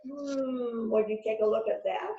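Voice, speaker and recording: Echoey, high-pitched woman as detective's sidekick. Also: fairly even intonation, measured pace. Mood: eager, helpful. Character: agreeable, eager woman assistant detective. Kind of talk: amateur readers theater mystery acting. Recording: echoey, dull, slightly noisy call audio.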